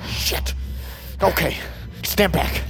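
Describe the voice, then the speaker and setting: An actress playing a practical, urgent crew member in a sci-fi audio drama, speaking tersely. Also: female voice, drawn-out words. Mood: grim, urgent. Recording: music under the voice.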